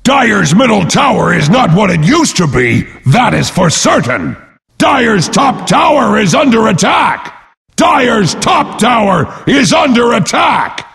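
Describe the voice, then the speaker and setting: An actor playing a brash, boastful, battle-hungry warrior brute as a pompous, bellowing video game announcer. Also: male voice, big pitch swings, takes it slowly, gravelly, fairly bright tone, raised voice, full character voice. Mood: alarmed bellowing. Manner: bellowing, pompous, speaks of himself in third person. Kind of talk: bombastic game announcer lines. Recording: long booming reverb.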